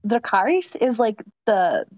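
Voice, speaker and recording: A woman's voice: a little high, very animated, a little slow, a little mellow, no room sound, lo-fi sound. A woman co-hosting a casual chat, talking chattily with many fillers. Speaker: podcast co-host.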